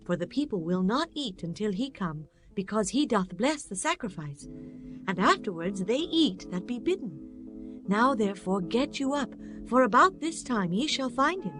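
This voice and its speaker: A woman's voice: medium pitch, big pitch swings, normal speed, fairly bright tone, faint music behind. A woman playing a helpful young maiden giving urgent directions in a dramatized scripture reading. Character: helpful young maiden at the well, urging haste.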